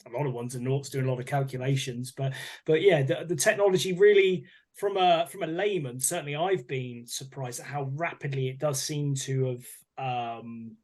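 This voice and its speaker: A man's voice: mid-range voice, normal speed, a little mellow. A man co-hosting a casual podcast, musing hesitantly and repeating words as he thinks aloud. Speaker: podcast co-host. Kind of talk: casual chat.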